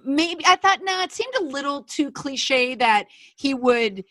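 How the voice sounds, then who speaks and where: A woman co-hosting a casual commentary chat, opinionated and animated, often laughing. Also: sits high, unhurried.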